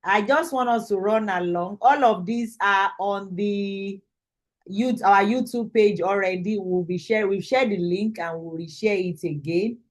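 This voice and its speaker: Woman, Nigerian accent, medium pitch, course instructor, online presentation, video call. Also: a little slow.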